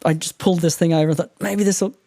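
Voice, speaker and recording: A man's voice: a little high, fairly even intonation, very fast, creaky, warm tone, dry recording. A man with an Australian accent and a nasal voice, chatting casually as a guest about podcast gear. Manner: chatty, rambling, laughs often. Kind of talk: casual technical chat. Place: home room treated with mattresses. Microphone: condenser studio mic.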